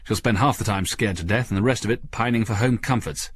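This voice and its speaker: A man's voice: on the low side, quick pace, husky, no echo. A man playing a stern, irritable spaceship captain with a dry, curt, sarcastic manner, in a sci-fi story reading. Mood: wry.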